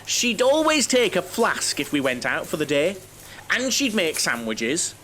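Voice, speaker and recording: An actor playing a naive, lonely bachelor who rambles and overshares in an audio comedy. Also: male voice, sits high, sing-song, measured pace, sharp tone, slight background noise, full character voice. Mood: reminiscing, rambling. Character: chatty, naive, lonely middle-aged bachelor, mother-obsessed coach passenger. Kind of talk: audio drama comedy acting.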